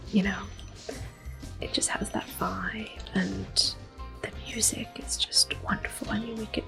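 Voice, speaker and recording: A woman in her thirties giving soft-spoken, whispered ASMR commentary while playing a video game, gentle and unhurried. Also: medium pitch, vocal fry. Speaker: ASMR gaming host. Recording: soft game music in background.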